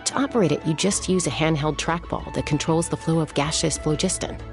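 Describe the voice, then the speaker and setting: An actress playing a cool, serious young woman warrior in a video game, measured and matter-of-fact. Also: low voice, over music. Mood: composed.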